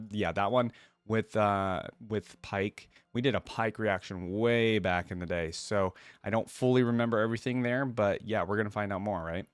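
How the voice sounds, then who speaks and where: Low-voiced man, casual reaction host. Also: no echo. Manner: conversational, interjects asides while reading. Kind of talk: casual reaction commentary mixed with reading aloud.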